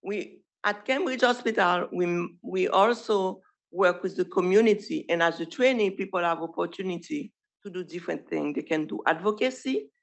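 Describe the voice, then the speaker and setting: A middle-aged physician from Haiti with a Haitian accent, giving an informal, halting presentation full of restarts. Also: mid-range voice, vocal fry. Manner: halting, with frequent restarts and self-corrections. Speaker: physician presenting a residency program. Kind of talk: informal presentation at an online showcase.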